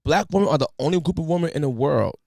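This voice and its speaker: A man's voice: fairly high pitch, fast talker, vocal fry, no room sound, studio quality. Slangy ranting man on a podcast. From United States. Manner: animated, preachy, colloquial slang. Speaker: podcast host. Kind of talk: casual podcast rant.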